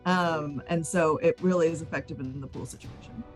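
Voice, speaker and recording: Lower-voiced woman, clipped and playful. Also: normal speed. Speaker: player on a roleplaying stream. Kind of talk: casual chat. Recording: faint music behind. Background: music.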